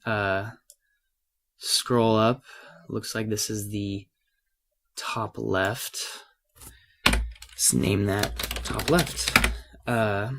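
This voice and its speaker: A man with a fairly low voice, made a little raspy by a cold, presents an unscripted software lesson. He speaks casually and hesitantly, working things out aloud as he goes. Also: fairly even intonation, drawn-out words, long pauses, a little hiss or hum. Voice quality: slightly raspy. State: has a cold. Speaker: tutorial presenter.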